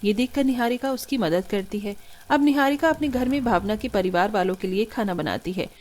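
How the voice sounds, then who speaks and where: A woman reading scripted narration for an animated family story. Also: medium pitch, fairly quick.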